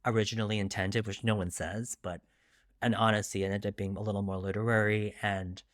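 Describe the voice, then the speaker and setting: A man with a fairly low voice talks casually as an author being interviewed. He is animated and self-deprecating, often quoting his own thoughts mid-story. The sound is very dry, with no room on it. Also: a little fast, dry recording. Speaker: guest author discussing his debut novel.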